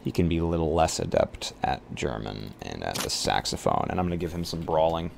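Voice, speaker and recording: A man's voice: rumbling low, sing-song, fairly quick, creaky, slight background noise. A man chatting casually with stream viewers and a co-host in an easygoing, informal, joking way. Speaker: live-stream roleplay player.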